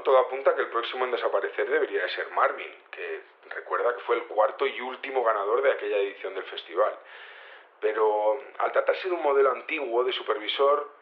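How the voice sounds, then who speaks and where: An actor playing a cautious, matter-of-fact investigator, speaking in a measured conversational way during a radio interview in an audio drama. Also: male voice, medium pitch. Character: matter-of-fact male investigator giving a cautious official update. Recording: slightly dull, lo-fi call sound.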